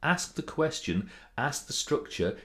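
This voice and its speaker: A man's voice: a little high, big pitch swings, unhurried, fairly bright tone. A British man giving informal advice as a presentation coach, chatty and theatrical with emphatic delivery. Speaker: presentation coach hosting a video series. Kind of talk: informal to-camera advice talk.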